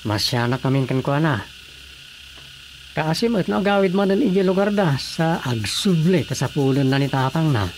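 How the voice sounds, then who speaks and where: An expressive village man gives advice with widely swinging intonation, in radio drama style. Faint insect ambience runs behind him. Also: a little high, normal speed, slight background noise. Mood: explaining.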